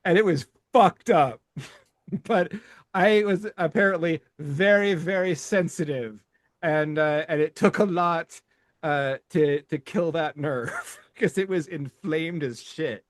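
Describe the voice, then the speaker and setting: A man co-hosting a podcast, telling a story in casual chat, laughing often and talking haltingly. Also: on the high side, no echo, studio quality. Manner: laughs while telling stories, halting and profane. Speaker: podcast co-host.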